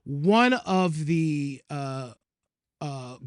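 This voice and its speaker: A man's voice: on the high side, animated, very slow, clear, resonant tone, no echo, clean studio sound. A man co-hosting a podcast, talking casually and conversationally, checking in with his listener as he goes. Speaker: podcast co-host.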